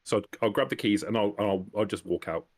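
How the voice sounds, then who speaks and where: Low-voiced man playing a tabletop game. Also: fairly quick. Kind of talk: casual in-game questions and actions.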